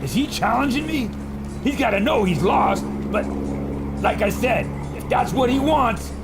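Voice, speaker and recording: An actor playing a cocky, reckless American pilot hero with a folksy, brash manner in a pulp adventure audio drama. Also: male voice, very high voice, expressive, measured pace, full character voice. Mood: determined. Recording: loud music over the voice.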